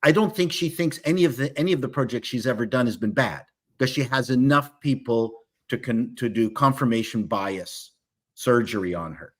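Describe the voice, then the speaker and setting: A man's voice: medium pitch, normal speed. A middle-aged man giving opinions in casual chat as a stream panelist, with an emphatic manner.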